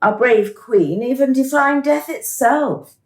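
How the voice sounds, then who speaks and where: An actress playing a cool, smug administrator of a dystopian afterlife in a radio drama, officious and mocking. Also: female voice, high-pitched, takes it slowly, echoey room. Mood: stern, superior.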